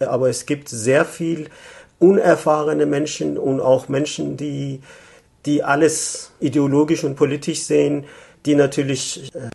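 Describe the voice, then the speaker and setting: A man in his fifties from Iran, a native Persian speaker, answering interview questions thoughtfully with frequent pauses and restarts. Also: mid-range voice, darkish tone. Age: 50s. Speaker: interview guest, economic consultant.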